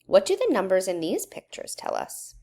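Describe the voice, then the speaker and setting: Woman reading aloud with lively, swooping pitch. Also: creaky. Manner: measured, instructional, poses questions to young listeners. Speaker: educator reading a children's book aloud. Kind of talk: children's read-aloud narration.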